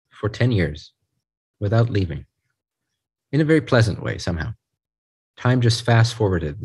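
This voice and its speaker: A man leading a guided group meditation, speaking in a soothing, unhurried way in short phrases with pauses. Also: lowish voice, a little mellow, husky.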